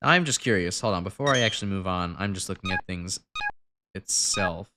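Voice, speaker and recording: A man's voice: deep voice, lively intonation, fairly quick, heavy background noise. A congested man giving casual, rambling gameplay commentary as a streamer, full of fillers and restarts. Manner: rambling, self-correcting, frequent fillers. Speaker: gaming streamer. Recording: game music in background. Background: game music.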